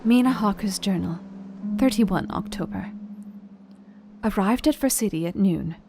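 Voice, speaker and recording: A woman's voice: medium pitch, a little slow, creaky. Woman acting Mina Harker, earnest young Victorian wife. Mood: composed, earnest. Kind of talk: radio play journal narration. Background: music.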